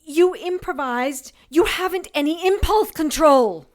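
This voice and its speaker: Actress voicing a rational witch, high voice, slow clipped scolding, audio drama. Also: expressive. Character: sharp, rational young witch, exasperated schemer.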